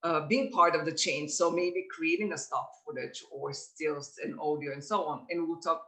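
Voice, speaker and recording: A woman in her forties with a Bulgarian accent speaks to a workshop audience in a bright, clear-edged voice. She sounds earnest and measured, stopping now and then to search for the right word. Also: low-pitched, fairly even intonation. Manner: earnest, measured, pauses often to find words. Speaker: guest speaker. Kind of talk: personal talk to a workshop audience.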